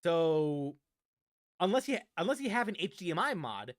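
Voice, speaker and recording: A man's voice: a little high, very animated, moderate pace, no room sound, studio quality. A young man hosting a gaming channel, talking casually and rambling with many fillers and restarts. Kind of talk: casual unscripted vlog talk.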